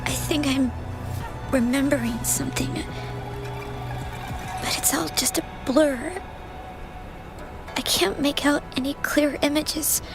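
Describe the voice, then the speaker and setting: A woman playing an earnest, searching young girl in a game, soft-spoken and hesitant. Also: high-pitched, slow pace, long pauses. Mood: uncertain, wistful. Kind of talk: dramatic scripted game dialogue. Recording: music behind the voice.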